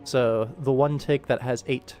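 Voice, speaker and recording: A man talks casually as the host of an animation work stream. His pitch sits in the middle for a male voice, and he is chatty, detail-focused and self-deprecating. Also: fairly even intonation, unhurried, mellow, faint music behind. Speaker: animation creator and livestream host. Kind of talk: casual livestream work commentary.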